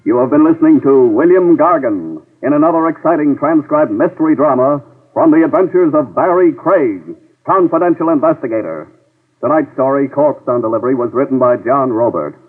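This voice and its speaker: Formal 1950s radio announcer. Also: male voice, mid-range voice, animated, fairly quick. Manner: crisp, formal announcer delivery. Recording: tinny old radio broadcast with music.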